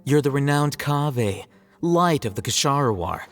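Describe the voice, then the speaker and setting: Arrogant scholarly game character, dry sarcasm, medium pitch, varied intonation, aloof. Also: male voice, lively intonation, a bit bright, faint music behind. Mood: sardonic. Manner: dry, sardonic, unhurried needling. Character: aloof, smug young male scholar and scribe. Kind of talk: video game voice acting.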